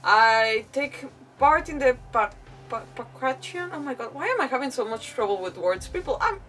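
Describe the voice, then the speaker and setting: A woman's voice: high-pitched, sing-song, moderate pace, fairly bright tone, distant mic, poor audio. A woman game streamer giving casual live commentary, exclamatory and often stumbling as she reads aloud. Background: game music.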